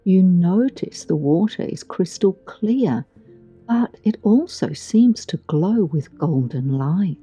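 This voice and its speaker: A woman guiding a meditation in a soothing, gentle, deliberate way with long pauses, recorded with a muffled sound. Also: lowish voice, lively intonation, husky. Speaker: meditation guide. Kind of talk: guided meditation narration. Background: background music.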